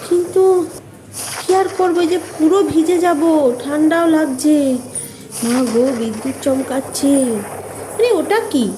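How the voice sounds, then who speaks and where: A woman's voice: high-pitched, unhurried, noisy background. A woman voicing an anxious young college student from a village, fretting and exclaiming to herself in a storybook tale. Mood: anxious, startled. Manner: fretful, exclaiming, talking to herself.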